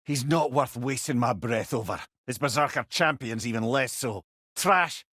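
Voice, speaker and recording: An actor with a Scottish accent playing a wise, witty talking severed head, a loyal older advisor with eloquent, old-fashioned phrasing in a video game. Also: male voice, medium pitch, moderate pace, bright, forceful tone, dry recording, studio quality. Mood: scornful, indignant. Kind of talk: video game character dialogue.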